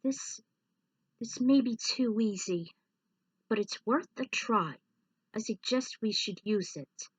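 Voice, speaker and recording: A woman's voice: fairly high pitch, expressive, slow pace, pauses often, husky, no echo, exaggerated voice. A woman voicing a worried, devoted female character in a story reading, anxious and hesitant. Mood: cautious hope. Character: worried woman, devoted but full of doubt.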